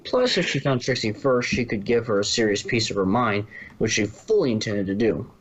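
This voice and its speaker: A man's voice: lowish voice, brisk, highs cut off. A man reading scripted story narration, now and then stumbling and rereading a phrase.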